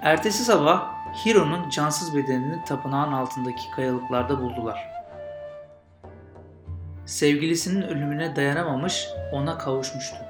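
A man, hosting and telling stories in an informal, narrated style. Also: fairly high pitch. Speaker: podcast host and storyteller. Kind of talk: casual intro and narrated legend storytelling. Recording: roomy echo with guitar music.

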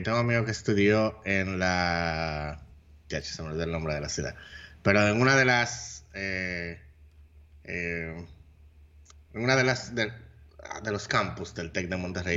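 Hombre dominicano, voz grave y apagada. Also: male voice, low voice, moderate pace, pushed and bright, highs cut off. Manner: loose and rambling, mixes in English words. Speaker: podcast co-host. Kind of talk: casual chat.

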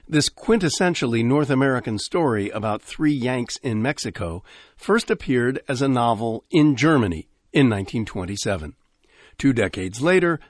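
Casting: older male host, lively delivery, very dry close studio sound. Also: medium pitch, no room sound. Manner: smooth, informative announcing. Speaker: radio host. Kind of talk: scripted radio introduction.

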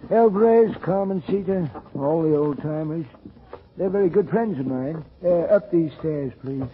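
An actor playing a forgetful, folksy old hotel keeper in a 1940s radio drama, rambling and repeating himself in rustic speech. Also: male voice, fairly high pitch, moderate pace, natural voice. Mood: folksy, eerily matter-of-fact. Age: older. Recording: tinny, band-limited 1940s radio recording.

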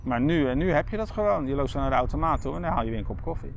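A man's voice: a little high, expressive, very fast, vocal fry. Dutch man chatting, noisy background. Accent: Netherlands Dutch. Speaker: podcast co-host. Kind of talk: casual chat.

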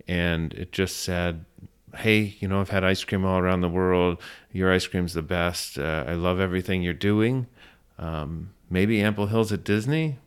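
A man being interviewed as a business owner, telling his story conversationally and often pausing mid-sentence. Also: low-pitched, a bit bright, clear, resonant tone.

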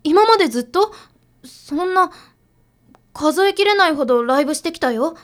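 Young idol girl worried for family, high voice, casual expressive radio drama talk. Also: moderate pace. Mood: surprised, moved. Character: young female underground idol, concerned granddaughter.

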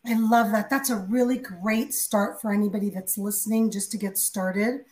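A woman hosting an interview, with a naturally hoarse and slightly nasal voice. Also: mid-range voice, steady tone, moderate pace, a little mellow, echoey room. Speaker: interview host. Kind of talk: interview chat.

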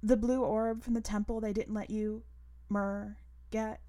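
A woman voicing an excited, friendly teenage girl gamer who talks in an eager, chatty, questioning way. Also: mid-range voice, unhurried, no room sound, lightly acted.

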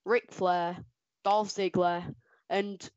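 Excitable woman podcast co-host. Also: lowish voice, drawn-out words, dry recording, muffled recording. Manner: excitable, laughs often, animated outbursts. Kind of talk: casual chat ranking wrestlers.

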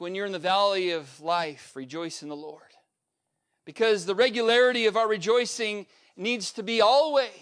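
A male pastor preaching a sermon, building up to a repeated refrain and swinging between loud peaks and quiet, low asides. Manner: emphatic preacher with swings in pitch and loudness, repetitive refrain.

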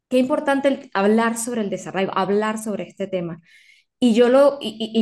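Woman interviewer, young adult, slightly high voice, heartfelt, emphatic. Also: darkish tone. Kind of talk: interview question.

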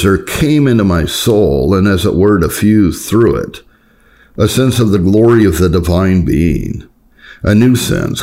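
A man with a deep, low voice reads an old religious memoir aloud. His delivery is that of a formal audiobook narrator. He moves through the long sentences in measured phrases. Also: darkish tone. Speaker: audiobook narrator reading historical Puritan writings.

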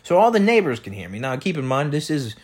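A man with a loud, projecting voice rambling through a comedic solo monologue as a podcast host, repeating himself and tossing out rhetorical questions. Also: fairly high pitch, sing-song, quick pace, slight background noise. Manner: repetitive, riffing with catchphrases and rhetorical questions. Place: indoor room beside an open window.

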